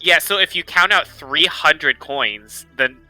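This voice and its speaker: A man's voice: a little high, slow pace, pushed and bright, low-quality recording. A man playing a tabletop game, casually but insistently reasoning out his point. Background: music.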